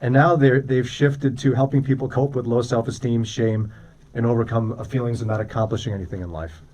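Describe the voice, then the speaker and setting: A man's voice: medium pitch, steady tone, a little fast, a little mellow, distant mic. A man delivers casual radio commentary as a co-host, making his points conversationally and nudging the listener with rhetorical questions. His voice sits a little away from the microphone.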